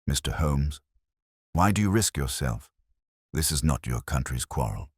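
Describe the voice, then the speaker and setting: Male reader as pleading aristocratic lady in a storybook mystery, formal and earnest, very low register. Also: animated, measured pace, husky, dry recording, studio quality, exaggerated voice. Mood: anxious, pleading. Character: frightened, pleading aristocratic countess, a woman. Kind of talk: dramatic audiobook dialogue.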